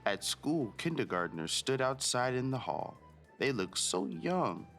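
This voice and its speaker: A man's voice: mid-range voice, sing-song, a little slow, a bit bright, soft background music. A man hosting a read-aloud for children, narrating expressively and speaking directly to his young listeners.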